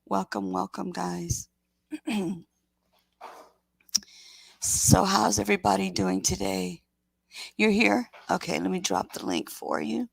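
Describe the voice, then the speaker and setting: A woman hosting a casual livestream in a raspy voice, sick with a scratchy throat and talking in a rambling, halting way. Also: medium pitch, expressive, dry recording. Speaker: livestream host.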